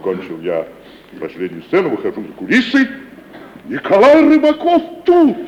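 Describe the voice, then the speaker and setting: A proud, domineering provincial tragedian in a vintage radio play. His male voice is fairly high and very animated, declaiming with lofty condescension. A light hiss from the old recording sits around it. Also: normal speed, muffled recording. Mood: wistful, grand. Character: pompous, bullying provincial tragic actor, proud and wistful. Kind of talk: mid-century Russian stage radio acting. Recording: noisy 1950 radio recording.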